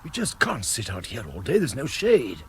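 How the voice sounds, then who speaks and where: A man acting in a radio drama as a weary, anxious gentleman with a formal, fretful manner. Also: mid-range voice, wildly swooping pitch, moderate pace, a little hiss or hum. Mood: low, impatient. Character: weary, anxious gentleman trekking through desert heat.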